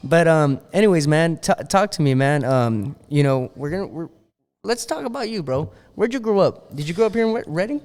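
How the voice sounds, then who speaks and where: A man hosting a podcast, with a smooth, resonant voice pitched a bit high. He talks loose and friendly, full of slang and Spanish phrases, and the room rings with a long echo. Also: lively intonation, brisk, darkish tone. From Los Angeles area, US. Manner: laid-back slang, mixes in Spanish. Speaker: podcast host. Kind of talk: casual interview chat.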